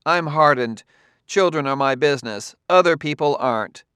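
Brisk bossy lady in charge of kids, as a guy reads her, voice pushed up high, dry audiobook read. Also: pushed and bright, dry recording. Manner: crisp, matter-of-fact, easily exasperated. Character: brisk brusque woman who runs a children's farm. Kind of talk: audiobook character voice.

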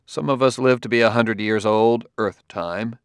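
A man voicing a patient middle-aged police detective in an audiobook, asking questions quietly and cautiously. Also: on the low side, steady tone, moderate pace, clear, resonant tone, no echo, studio quality, natural voice.

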